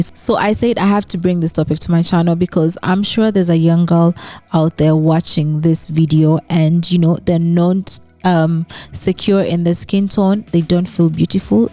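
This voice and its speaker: A woman's voice: lowish voice, warm tone, no echo. A woman from Western Uganda, hosting her own channel in a chatty style full of "right?" and "you know". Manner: conversational, frequent tag questions and fillers. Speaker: YouTuber host. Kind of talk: casual commentary vlog.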